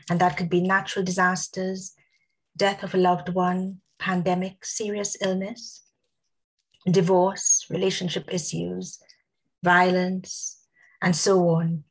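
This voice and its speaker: A woman giving a prepared talk on a video call, losing her voice and clearing her throat, measured and listing points with frequent ums. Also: on the low side, low-quality recording. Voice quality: husky, gravelly. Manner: measured, reads out lists with many ums. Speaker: guest speaker. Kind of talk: prepared talk at an online summit.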